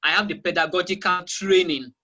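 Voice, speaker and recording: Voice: mid-range voice, steady tone, fairly quick, sharp tone. A teacher from Nigeria with a Nigerian accent, a Yoruba speaker, answering interview questions emphatically and repeating key phrases over a video call. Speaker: guest teacher of English.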